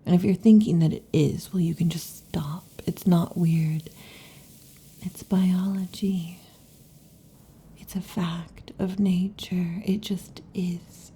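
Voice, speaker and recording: A woman playing a restless, possessive lamia girlfriend in an intimate ASMR roleplay, with a breathy, husky half-whisper and halting, teasing delivery. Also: fairly low pitch, fairly even intonation, warm tone, a little hiss or hum. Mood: defensive. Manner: halting, teasing, predatory intensity. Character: restless, possessive female lamia monster girlfriend next door.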